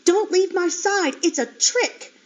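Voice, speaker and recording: A woman's voice: extremely high pitch, takes it slowly, voice pushed up. A woman voicing a protective, worried mother pig who gives firm, urgent warnings, on a dull lo-fi recording. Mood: pleading.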